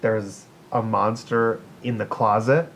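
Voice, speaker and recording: A man's voice: steady tone, takes it slowly. A man co-hosting a casual comedic podcast, thinking aloud in a rambling, wry way. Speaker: podcast co-host. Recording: noisy recording with low rumble.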